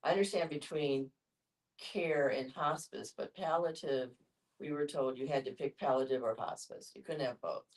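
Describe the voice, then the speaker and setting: A woman's voice: low-pitched, normal speed. A woman asking probing, conversational questions in a group discussion. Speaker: audience member asking questions. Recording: distant from the microphone.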